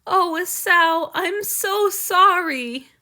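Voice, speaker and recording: A woman doing a weepy young man whose voice jumps high and loud through tears. he is impulsive and keeps blaming himself, in a bright, sharp storybook read. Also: very slow, exaggerated voice. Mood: tearful, frantic. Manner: sobbing, high-pitched outbursts. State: crying.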